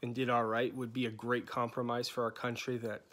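A man in his thirties from New England introducing himself as a candidate, talking loosely with many pauses and restarts, filmed on a phone. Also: mid-range voice, flat delivery, a bit bright. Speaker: candidate for chapter coordinator. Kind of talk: unscripted campaign introduction. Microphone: phone held at arm's length.

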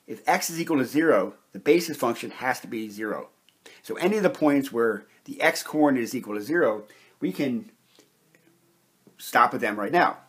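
A man with a slightly husky voice giving a methodical, step-by-step explanation as a science instructor at a whiteboard. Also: medium pitch. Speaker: chemistry instructor.

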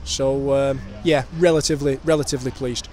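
Measured, even-toned man in his thirties. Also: mid-range voice. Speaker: professional footballer. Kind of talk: post-match interview answers. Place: outdoors outside a stadium shop. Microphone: handheld interview microphone. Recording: noisy outdoor street recording.

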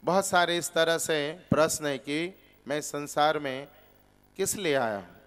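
A man in his forties giving a spiritual discourse in a temple hall, teaching slowly and deliberately with rhetorical questions into a stand microphone. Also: on the high side, a bit bright, smooth. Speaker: preacher. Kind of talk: Gita discourse. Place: temple hall with seated audience, indoors.

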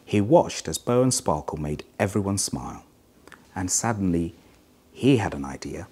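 A middle-aged man reading a children's story aloud in an expressive, measured way. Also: low-pitched, husky. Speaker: hospital chief executive reading a children's story.

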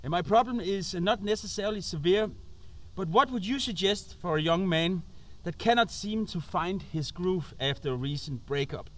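A man's voice: high voice, a bit bright. A man in his thirties with a Danish accent, talking informally to camera as a host and feeling his way through English. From Denmark. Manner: halting, searching for English words. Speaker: host and musician. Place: outdoors on an open roadside.

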